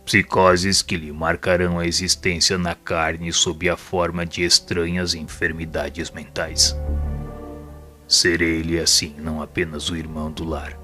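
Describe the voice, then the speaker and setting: An actor playing a solemn, repentant spirit mentor in an audio drama, speaking in a grave, formal and measured way. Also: male voice, low-pitched, little pitch movement, pushed and bright, faint music behind. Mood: solemn resolve. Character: solemn, repentant male spirit assistant and mentor, a former doctor. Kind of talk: audio drama dialogue, formal literary monologue.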